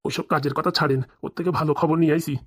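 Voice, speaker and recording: Man acting wheedling, very fast-talking crooked cartoon cop. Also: on the high side, steady tone, a bit bright, breathy edge. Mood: wheedling, secretive. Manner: conspiratorial, colloquial rustic speech. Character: wheedling, greedy, scheming male village policeman. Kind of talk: comic cartoon dialogue.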